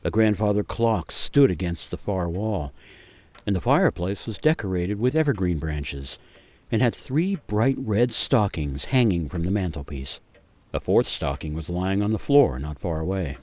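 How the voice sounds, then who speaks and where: A man with a low voice narrates a dramatic radio story. His delivery is measured and theatrical, building suspense. The sound is dry, with no room echo. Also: lively intonation, a little mellow.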